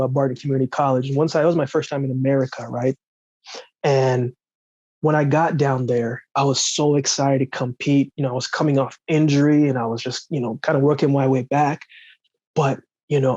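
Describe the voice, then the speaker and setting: A man's voice: medium pitch, darkish tone, dry recording, lo-fi sound. A man from Jamaica telling stories as a podcast guest, easygoing and laughing often, often checking in with "right?". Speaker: podcast guest, former sprinter. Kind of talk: conversational storytelling.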